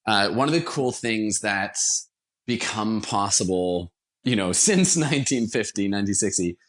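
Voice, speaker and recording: A man with a fairly low-pitched voice talks as a podcast guest. He is enthusiastic and conversational, explaining things in a casual interview style. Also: expressive, a little slow, fairly bright tone. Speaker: interview guest, radio theater producer.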